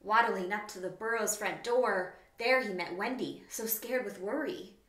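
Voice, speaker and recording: A woman's voice: mid-range voice, unhurried, a bit bright. A woman in her thirties, a children's author, reading a storybook aloud expressively in a small room. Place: small plain room indoors. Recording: roomy, echoing indoor room.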